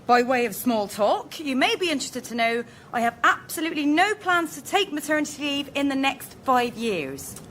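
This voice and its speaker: A woman's voice: high voice, big pitch swings, normal speed, few pauses, fairly bright tone, slight background noise. A woman playing a polite, knowing female job candidate in a radio sketch, tentative yet pointed. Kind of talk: radio sketch comedy acting.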